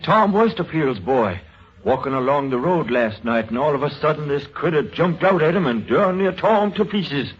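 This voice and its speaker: Husky, folksy mountain neighbor. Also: male voice, fairly high pitch, animated, normal speed. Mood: alarmed, grave. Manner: folksy, rustic. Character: plain-spoken rural mountain neighbor man. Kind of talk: old-time radio drama acting. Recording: dull lo-fi old radio recording.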